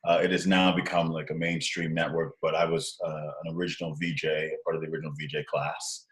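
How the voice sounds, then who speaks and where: Deep-voiced man, echoey room. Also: brisk. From Nigeria and Canada, raised partly in the US. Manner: rambling, restarts, animated when telling stories. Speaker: guest, social impact entrepreneur. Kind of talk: casual interview over a call. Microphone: call recording.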